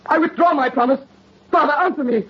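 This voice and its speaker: An actor playing a count's devoted, anguished son, earnest and formal, in old-time radio horror style. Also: male voice, very high-pitched, brisk. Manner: earnest, archaic formal diction. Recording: muffled old radio recording.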